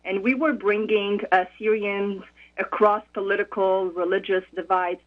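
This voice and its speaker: A woman from Morocco, a conflict resolution expert, speaking as a radio interview guest in a thoughtful, measured way with frequent pauses. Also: medium pitch, fairly even intonation. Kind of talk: radio interview over the phone. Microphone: telephone. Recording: tinny phone line.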